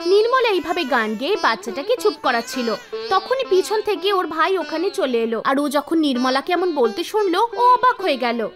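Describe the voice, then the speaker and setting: A woman narrating a scripted animated folktale as a storyteller. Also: very high voice, normal speed. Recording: background music behind voice. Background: soft music.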